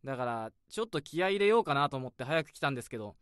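An actor playing a polite, easygoing young junior coworker in a radio drama. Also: male voice, medium pitch, very animated, rapid-fire, smooth, no room sound, clean studio sound, close to an everyday voice. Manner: polite, easygoing, slightly casual speech. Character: friendly polite young male office junior.

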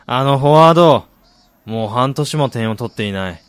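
Male actor as thoughtful soccer fan, mid-pitched voice, dry studio sound, polite, reserved, radio drama narrator. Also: animated, moderate pace, smooth, no echo, close to an everyday voice. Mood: engaged. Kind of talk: Japanese radio drama acting with first-person narration.

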